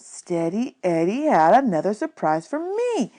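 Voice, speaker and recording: A woman's voice: mid-range voice, wildly swooping pitch, a little slow, mellow, dry recording. Woman reading a children's novel aloud, dry sound. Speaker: audiobook reader, teacher.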